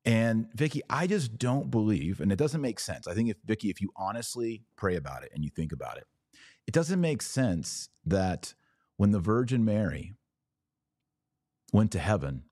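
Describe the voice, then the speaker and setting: A man with a very low, mellow voice, recorded close and dry. He speaks as a religious podcast host addressing his audience directly, making his case in emphatic, clipped statements with an argumentative edge. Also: sing-song, moderate pace, no room sound. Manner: emphatic, argumentative, speaks in short declarative phrases. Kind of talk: live apologetics talk answering viewer comments.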